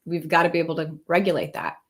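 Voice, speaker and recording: A woman in her thirties with a slightly low voice talks on a live video call. She speaks as a relationship coach in a conversational style, as in an informal discussion with a colleague. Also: expressive, fast. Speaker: couples coach. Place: indoor room, video call.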